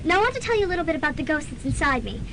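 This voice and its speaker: A woman's voice: high-pitched, big pitch swings, rapid-fire. A woman playing an earnest young college student in a student radio drama. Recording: noisy amateur student radio recording.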